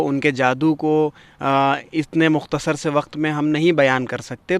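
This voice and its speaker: A man hosting a poetry gathering, reciting verse and commenting on it in a measured, expressive, literary way. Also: a little high, smooth, no room sound.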